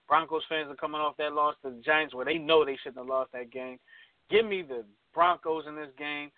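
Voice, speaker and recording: A hoarse man hosts a casual sports talk show, jumping between picks with stumbling restarts. His voice sits a touch high. The sound is dry and tinny, like a phone line. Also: no echo.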